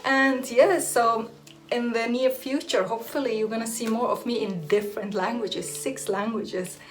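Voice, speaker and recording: A woman's voice: fairly high pitch, steady tone, moderate pace, soft background music, rough recording. A young woman from Iraq whose first language is Aramaic, chatting casually to camera as a hair and beauty vlogger, laughing often and restarting her sentences.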